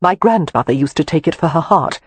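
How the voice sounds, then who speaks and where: A narrator voicing a prim, stern young governess who talks gruffly. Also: mid-range voice, expressive, a little fast. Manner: gruff, clipped. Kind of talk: audiobook character voice.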